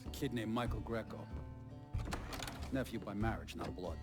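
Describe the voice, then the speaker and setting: A man's voice: lowish voice, moderate pace, close to an everyday voice. Male actor as defiant veteran mobster, weary, streetwise, swearing, game cutscene, prominent guitar backing. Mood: bitter. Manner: blunt, streetwise, profane. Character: weary, defiant, foul-mouthed veteran mobster, cornered but proud. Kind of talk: cinematic game dialogue. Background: guitar music.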